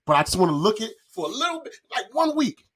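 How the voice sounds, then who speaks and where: A man's voice: sits high, very animated, fast, a bit bright, slightly rough. A man in casual podcast conversation, talking in slang and joking at his own expense. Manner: slangy, self-deprecating joking. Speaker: podcast host. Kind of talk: casual chat.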